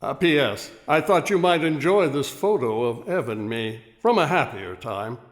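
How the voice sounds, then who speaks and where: In a long echoing reverb, an actor voices a wistful man speaking his own letter in a radio play. He sounds measured, courteous and resigned, at a middling pitch. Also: lively intonation, lightly acted. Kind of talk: radio drama acting, letter read in voice-over.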